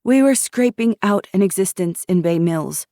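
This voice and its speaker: A woman's voice: mid-range voice, normal speed, no room sound, clean studio sound, voice pushed up, natural voice. A woman narrator voicing a young refugee woman, a nursing student who tells steadily of her town being attacked. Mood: weary. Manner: weary, steady.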